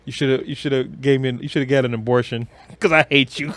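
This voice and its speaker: A man co-hosting a podcast, chatting casually about movies and games in an animated, exclamatory, slangy way. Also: mid-range voice, quick pace, dry recording. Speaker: podcast co-host.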